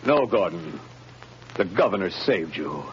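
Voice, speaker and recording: A man's voice: low-pitched, very animated, unhurried. Firm fatherly prison warden from an old radio play, muffled with faint hiss. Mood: urgent, relieved. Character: steady, sympathetic male prison warden. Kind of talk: 1930s radio drama acting.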